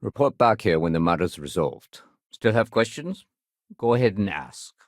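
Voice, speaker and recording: Gruff no-nonsense cop sergeant for a video game, low voice that moves around a lot, brusque and bossy. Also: male voice, expressive, moderate pace, no room sound, studio quality. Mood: businesslike. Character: gruff, no-nonsense male police sergeant holding the line.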